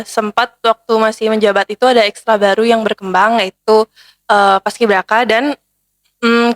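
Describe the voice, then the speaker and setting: A young woman guest from Bali answering interview questions casually and hesitantly, with many pauses and fillers. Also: on the high side. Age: young adult. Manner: hesitant, many fillers, calls herself Kakak. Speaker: guest, former student council head.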